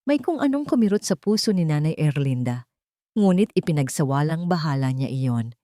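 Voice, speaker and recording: A woman tells a dramatic story in a low, dark-toned voice. She reads as a storyteller from a script, and the sound is close and very dry. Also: sing-song, normal speed, no echo.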